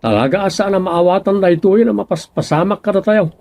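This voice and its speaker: A male actor playing an excitable, dramatic village man in an Ilocano radio comedy drama, with an Ilocano Filipino accent and a creaky voice. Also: high-pitched, fast, no echo. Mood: earnest, explaining.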